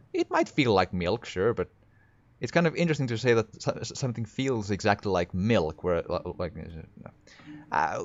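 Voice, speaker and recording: A man's voice: on the low side, highs cut off. A man with a Finnish accent narrating his horror game playthrough, thinking aloud with frequent stammering restarts. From Finland. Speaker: gaming video creator. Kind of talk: horror game let's play commentary.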